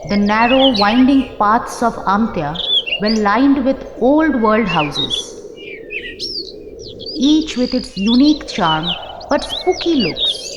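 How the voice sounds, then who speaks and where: A woman's voice: fairly high pitch, animated, slow, heavy background noise. A woman narrating a scripted horror story as an audio drama storyteller. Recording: echoey, long reverb under music. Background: music and birdsong.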